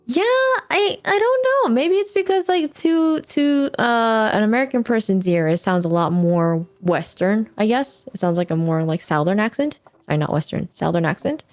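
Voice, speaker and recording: A woman's voice: medium pitch, animated, moderate pace, hardly any pauses, darkish tone, no echo, soft background music. Japanese-accented woman chatting hesitantly. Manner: hesitant, searching for words. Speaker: guest. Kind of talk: casual chat.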